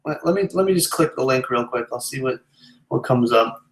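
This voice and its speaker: A man's voice: mid-range voice, animated, brisk, roomy sound. A man in his twenties hosting a live stream, chatting casually and answering viewers as he rambles and thinks aloud. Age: 20s. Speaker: live stream host, vape product reviewer.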